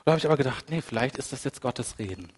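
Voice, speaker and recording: A man preaching a sermon while he has a cold, his pitch sitting in an ordinary middle range. He talks in a loose, conversational way with little asides. Quiet, reflective stretches give way to rising, emphatic ones. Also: lively intonation, fast talker, husky. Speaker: pastor. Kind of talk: sermon with personal anecdote.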